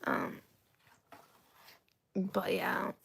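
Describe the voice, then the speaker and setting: A woman in her twenties rambling through a personal confession to camera, halting, with lots of ums and restarts, and often dropping to a half-whisper. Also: medium pitch, monotone, creaky, fairly bright tone, husky. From United States. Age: 20s. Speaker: vlogger.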